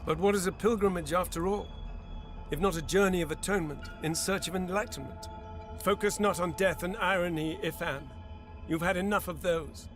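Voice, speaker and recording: An actor playing a solemn, lofty god who guides like a mentor in a fantasy video game, speaking gravely and grandly. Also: male voice, high-pitched, moderate pace, a bit bright. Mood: solemn, exhorting. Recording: orchestral music behind the voice. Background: music.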